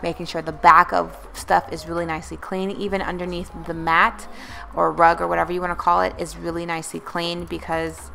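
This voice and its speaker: A woman's voice: fairly low pitch, little pitch movement, fairly quick, gravelly, a bit bright, soft background music. A young woman vlogger talking casually and encouragingly in a voice-over about cleaning her home, with many fillers. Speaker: lifestyle vlogger.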